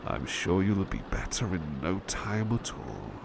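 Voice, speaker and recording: A devoted, fussing male butler, acted by a man, speaks in a low, slow voice that swoops expressively. He is polite and hesitant, gently repeating his reassurances in a soothing ASMR roleplay, with clear background noise behind him. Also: sing-song, full character voice. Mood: soothing, tender.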